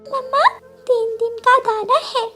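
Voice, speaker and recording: A woman's voice: extremely high pitch, steady tone, slow, sharp tone, soft background music, pitched above the performer's own voice, full character voice. A woman voicing a small, stubborn girl bird in a cartoon, with a childlike, whining delivery. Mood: worried. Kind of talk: Hindi cartoon character acting.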